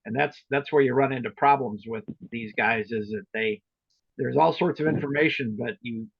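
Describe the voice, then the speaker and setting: A man talks through training matters in an informal, halting and meandering way. His voice is husky and gravelly, heard over roomy online meeting audio. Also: mid-range voice, lo-fi sound. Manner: hesitant, rambling, explanatory. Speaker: meeting leader and trainer of volunteer club officers.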